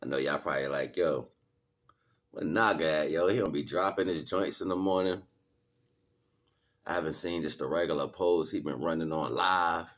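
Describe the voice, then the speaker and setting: A man's voice: a bass voice, normal speed, pauses often, fairly bright tone. A man speaking casually to livestream viewers like a street preacher, in heavy slang, repeating phrases for emphasis. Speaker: livestream host and spiritual teacher.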